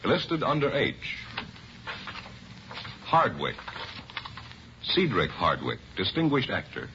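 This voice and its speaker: A man announcing a 1950s radio advertisement with deliberate, emphatic salesmanship. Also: fairly low pitch, wildly swooping pitch. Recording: muffled vintage radio recording, faint noise.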